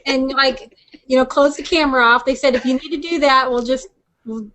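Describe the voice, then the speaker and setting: A woman with a distinctly high voice chats as a podcast co-host. She is excitable, playful and blunt. There is light noise on her recording. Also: brisk. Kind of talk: casual podcast chat. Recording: clear background noise, clicks.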